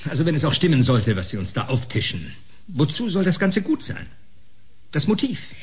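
A man's voice: on the high side, sing-song, normal speed, fairly bright tone. A man playing a sceptical, blustering doctor in a sci-fi radio play, sarcastic and theatrically emphatic. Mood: scornful disbelief. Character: combative, scornful male doctor, sceptical and blustering. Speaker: actor in a sci-fi audio drama.

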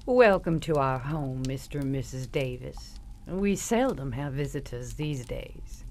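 Southern lady of a decaying manor, deep-voiced, animated, sugary yet sinister, radio drama. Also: unhurried, slight background noise, full character voice. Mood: genteel, reassuring. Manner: sugary hospitality, folksy. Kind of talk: audio drama acting.

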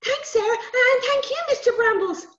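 A woman voicing an excitable, naughty little boy with a squealing, sing-song delivery in a storybook. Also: extremely high pitch, moderate pace, reverberant, dull audio, voice pushed up. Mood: grateful.

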